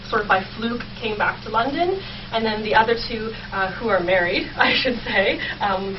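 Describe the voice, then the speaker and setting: Young woman, slightly distant dull recording. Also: mid-range voice. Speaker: guest, history researcher. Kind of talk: informal radio interview, explaining research. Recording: dull sound, faint noise, distant mic.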